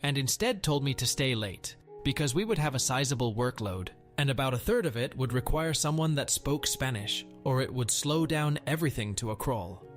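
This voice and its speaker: A man reads a first-person story in a steady, even way, his voice sitting at a middle pitch. Faint music plays quietly underneath the narration. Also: moderate pace, hardly any pauses. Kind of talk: scripted narration of a reddit story.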